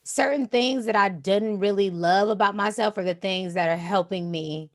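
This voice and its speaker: A woman DJ and streamer with a slightly raspy voice, talking in a calm, flowing way as a guest in a casual interview. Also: medium pitch, moderate pace. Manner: relaxed, flowing, says "you know what I'm saying" often.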